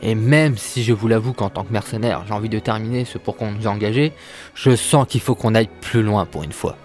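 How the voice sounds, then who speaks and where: A man's voice: on the low side, a little fast, a little mellow, close to an everyday voice. A man playing an earnest, protective mercenary leader in an amateur audio drama, casual and sincere. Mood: earnest, resolute. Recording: faint music behind the voice.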